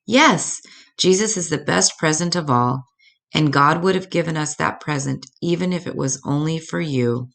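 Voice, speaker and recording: A woman voicing a gentle, patient mother bear who teaches tenderly and reassuringly in a children's storybook. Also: sits low, normal speed, vocal fry. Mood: loving.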